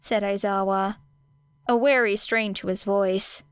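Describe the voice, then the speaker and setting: A woman's voice: medium pitch, steady tone, a little slow, clear, resonant tone, dry recording. A woman reading scripted story narration as an audiobook narrator.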